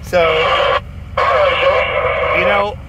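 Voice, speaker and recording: A man chatting casually in a folksy, slangy, animated way, recorded inside a vehicle. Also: extremely slow. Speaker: vlogger chatting on CB radio. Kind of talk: casual chat. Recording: faint vehicle noise.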